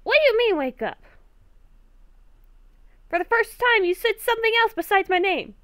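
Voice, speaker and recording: Nervous young werewolf woman, acted by a female gamer, Minecraft roleplay; halting, repetitive speech, high register, very animated pitch. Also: no echo, heavily acted. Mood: exasperated, alarmed. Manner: halting, stammering, repeats herself. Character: nervous young woman werewolf, hearing ghostly voices at night.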